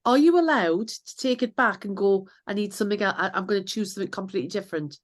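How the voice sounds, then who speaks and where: A woman's voice: mid-range voice, fast. A woman hosting a casual chat, asking guests questions. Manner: curious, asks questions. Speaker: host.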